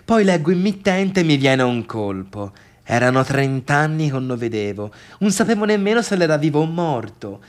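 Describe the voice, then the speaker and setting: An actor playing a chatty Tuscan villager with a strong local way of talking, grumbling and exclaiming through a theatrical monologue. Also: male voice, a little high, big pitch swings, normal speed. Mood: gossipy, incredulous. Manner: colloquial, grumbling, exclamatory storytelling. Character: chatty, gossipy Tuscan villager recounting a disastrous party. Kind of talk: theatrical comic monologue for radio drama.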